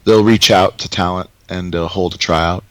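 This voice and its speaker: Halting low-voiced male wrestler guest. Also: fairly even intonation, no echo. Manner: halting, many fillers and restarts. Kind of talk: interview answers.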